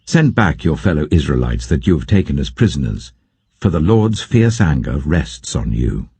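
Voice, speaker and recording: A man's voice: extremely low pitch, very animated, normal speed, mellow, husky, dry recording, voice pushed down, full character voice. A British man reading the part of a stern prophet rebuking an army in a scripture audiobook, grave, commanding and accusatory.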